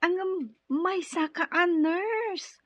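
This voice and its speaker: A woman's voice: very high-pitched, takes it slowly, fairly bright tone, no echo, heavily acted. An actress playing an affectionate, effusive, persuasive godmother in a radio drama. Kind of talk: Ilocano radio drama acting. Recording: dull, lo-fi radio drama audio.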